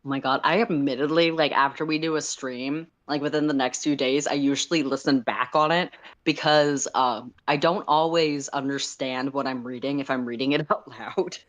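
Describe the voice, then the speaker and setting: A story streamer with a medium-pitched voice and a very dry recording. The delivery is casual and a little self-deprecating, moving between chat and reading fiction aloud. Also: fairly even intonation, normal speed, fairly bright tone, no echo, dull audio. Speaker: livestream co-host and story reader.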